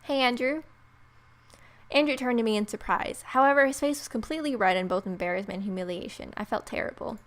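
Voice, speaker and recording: A woman's voice: medium pitch, steady tone, quick pace, hardly any pauses, a bit bright. A woman let's player narrating a visual novel aloud as she plays. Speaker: video game let's player.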